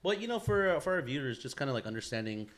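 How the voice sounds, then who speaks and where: A man's voice: mid-range voice, big pitch swings, very fast. A man hosting a podcast, chatting casually with friends in a rambling, slangy way with frequent swearing. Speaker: podcast host. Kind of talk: casual chat among friends.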